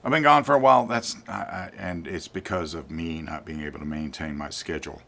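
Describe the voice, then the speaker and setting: An older man giving an informal gear review as a channel host, speaking deliberately and repeating words for emphasis. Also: sits low, gravelly, fairly bright tone, a little hiss or hum. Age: 50s or older.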